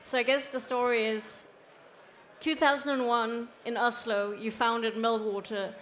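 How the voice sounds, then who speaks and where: A woman's voice: a little high, fairly even intonation, a little slow, fairly bright tone. A woman interviewing a guest on a conference stage, asking questions in a friendly, curious way.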